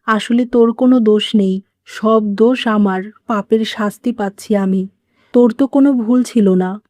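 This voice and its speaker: A woman's voice: a little high, measured pace, darkish tone, no room sound, natural voice. A woman playing a remorseful, wounded elderly mother-in-law in a cartoon moral story, speaking in a plaintive, self-blaming way. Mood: sorrowful, resigned.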